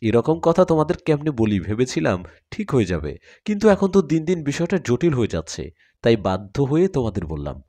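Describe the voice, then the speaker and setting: A man's voice: mid-range voice, wildly swooping pitch, fairly quick, warm tone. Earnest young student hero acted by a male narrator, troubled yet reassuring, swinging intonation, audio story.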